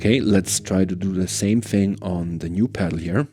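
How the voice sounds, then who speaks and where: A man's voice: low-pitched, moderate pace, over loud music. A man talking casually and musingly as he hosts a gear demo, often checking himself with a tentative "right?". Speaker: guitar gear reviewer and host. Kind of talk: casual product demo talk.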